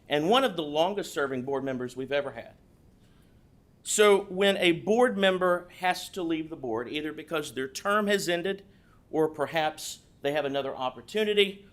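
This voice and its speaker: A man speaking loudly and at length at a state board meeting, walking the room through a tradition. His voice sits a little high for a man. He sounds practiced at public speaking. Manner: loud voice; explanatory, storytelling tone. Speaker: past board chair presenting an award. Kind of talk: formal speech at a board meeting.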